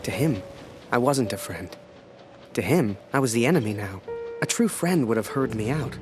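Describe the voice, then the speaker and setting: Guy playing tired young war photographer, mid pitch, rueful first person narration for a game, music underneath. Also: lively intonation, moderate pace, natural voice. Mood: hurt, reflective. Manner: rueful, introspective. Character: weary, disillusioned young male war photographer. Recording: music behind the voice.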